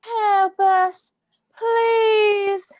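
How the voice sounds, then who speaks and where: A performer voicing small talking animals in a comic fairytale audio drama, shrill, exclamatory and profane. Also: level tone, drawn-out words, exaggerated voice. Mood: pleading, grateful. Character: foul-mouthed talking animals: flea, choking duck, fish, ants, ravens.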